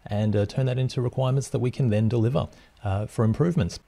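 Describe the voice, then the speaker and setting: A man's voice: medium pitch, quick pace, vocal fry. A man in his thirties explaining project plans over slides, speaking steadily with occasional ums and uhs. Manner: plain, steady teaching with frequent fillers. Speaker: project management instructor.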